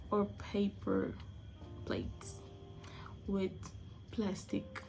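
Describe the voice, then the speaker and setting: Young female vlogger from Angola, Portuguese first language, gravelly, restarts phrases a lot. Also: medium pitch, a little mellow. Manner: chatty, searching for words, restarts phrases. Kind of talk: casual opinion vlog.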